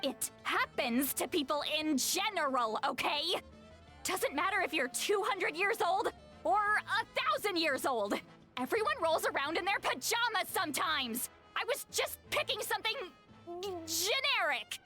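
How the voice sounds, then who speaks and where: A woman's voice: very high-pitched, very animated, unhurried, bright, forceful tone, soft background music, heavily acted. A woman voicing a flustered, defensive girlish video game character who speaks dramatically and is easily embarrassed. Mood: sheepish.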